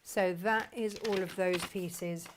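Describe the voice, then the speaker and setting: Chatty woman, slightly croaky. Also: medium pitch, fairly even intonation, slow, noisy background. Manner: chatty, self-deprecating, talks to viewers while working. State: croaky from hay fever. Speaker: sewing tutorial host. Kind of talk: casual craft demonstration.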